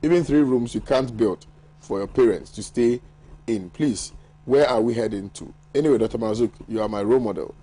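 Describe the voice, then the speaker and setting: A man's voice: medium pitch, a little fast, mellow, slightly rough. A man with a Ghanaian accent hosting a morning TV show, reading viewer messages aloud and bantering with his panel with frequent laughter. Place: TV studio.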